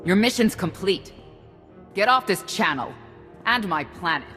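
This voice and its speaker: A man's voice: high-pitched, lively intonation, a little slow. A man voicing a cold, contemptuous saboteur in a video game, curt and disdainful. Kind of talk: dramatic video game voice acting. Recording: reverberant, music behind.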